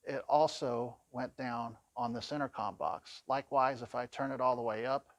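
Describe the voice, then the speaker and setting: A man's voice: medium pitch, fairly even intonation, normal speed, no room sound. A man giving an informal product walkthrough in a plain-spoken, conversational way, explaining things as a demonstrator.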